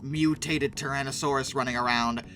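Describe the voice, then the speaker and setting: A man's voice: medium pitch, steady tone, moderate pace, sharp tone. A man giving casual video commentary in a sarcastic, opinionated, emphatic way. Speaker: YouTube commentator and host.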